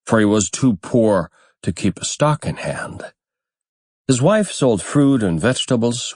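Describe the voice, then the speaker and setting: A man narrating a fairy tale audiobook in a measured, expressive storytelling manner. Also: on the low side, no room sound, clean studio sound.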